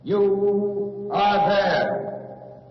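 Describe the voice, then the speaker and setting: A man making drawn-out, declamatory title announcements in 1940s radio style, through a heavy echo effect. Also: high-pitched, steady tone. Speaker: series announcer. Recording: distant, echoing, dull old radio recording.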